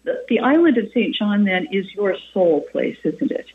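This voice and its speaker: A woman's voice: mid-range voice, big pitch swings, moderate pace. A woman host doing a radio interview, effusive and asking leading questions that end in tags. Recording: phone-like narrow band.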